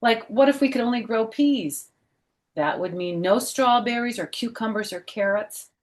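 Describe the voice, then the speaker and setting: A middle-aged woman reading a picture book aloud for children, with gentle teacherly phrasing and chatty personal asides. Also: fairly high pitch, moderate pace.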